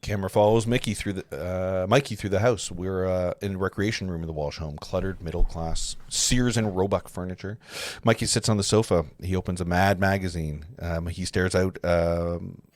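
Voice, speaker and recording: A man narrating a film script at a live table read, halting and often correcting himself. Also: low voice, steady tone, a little mellow, no room sound. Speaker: narrator reading stage directions.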